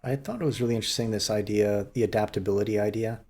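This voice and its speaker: A man's voice: on the low side, rapid-fire, a little mellow. A man co-hosting a podcast, talking in a casual, conversational way. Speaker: podcast co-host.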